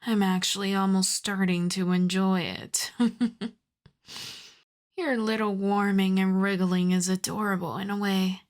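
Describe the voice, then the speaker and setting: A woman acts out an aristocratic vampire countess, an older predatory lady with a mid-pitched voice. She speaks very slowly and deliberately, haughty and teasing, slipping toward breathy whispers in an intimate ASMR roleplay style. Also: steady tone, natural voice. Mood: amused, domineering. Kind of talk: ASMR roleplay, slow theatrical monologue.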